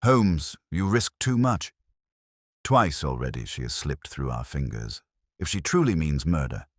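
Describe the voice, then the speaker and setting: A man's voice: rumbling low, big pitch swings, a little slow, no room sound, clean studio sound, heavily acted. A man voicing an anxious, loyal male companion of a detective in an audiobook. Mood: worried protest. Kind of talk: audiobook character voice.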